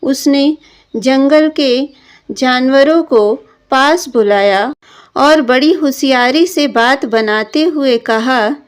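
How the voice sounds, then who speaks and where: A woman narrating a scripted children's story in a deliberate storyteller's manner. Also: sits high, clean tone. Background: background music.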